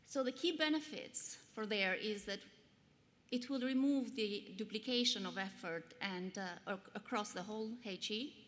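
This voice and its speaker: Albanian-accented woman pitching in a hall. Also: fairly bright tone. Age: 40s. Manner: earnest, measured, with frequent um and uh fillers. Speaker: pitch presenter. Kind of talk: conference pitch presentation. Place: large conference hall. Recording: long hall reverb, faint noise.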